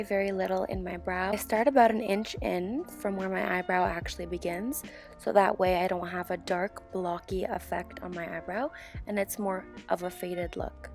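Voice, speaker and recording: Sick young woman host with a hoarse, gravelly voice explaining a makeup routine step by step. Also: mid-range voice. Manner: calm step-by-step instruction. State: hoarse, sick with sore throat. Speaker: beauty channel host. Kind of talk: tutorial voiceover. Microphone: voiceover mic.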